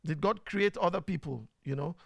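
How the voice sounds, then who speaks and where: A man's voice: on the high side, normal speed, creaky, a bit bright, no room sound, clean studio sound. A man with a Nigerian accent, hosting a live online program and talking casually through viewers' questions with many fillers. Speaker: host. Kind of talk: casual live-stream talk.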